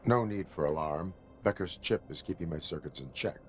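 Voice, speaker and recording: A man playing a stoic cyborg police officer in a video game, speaking in a clipped, formal, deadpan way. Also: deep voice, a little fast, soft background music, natural voice. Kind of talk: video game cutscene acting.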